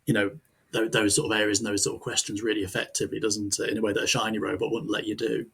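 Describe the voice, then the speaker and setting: A man's voice: fairly low pitch, completely flat delivery, racing, vocal fry, a bit bright, husky. A man hosting a podcast in casual interview style, informal and often tagging questions onto his statements. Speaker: podcast host.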